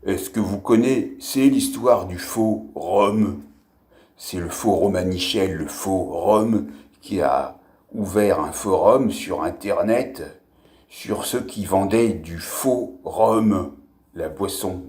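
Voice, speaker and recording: A man telling pun jokes in a slow, improvised comedy monologue, laughing at his own lines. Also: low-pitched, darkish tone, slightly rough.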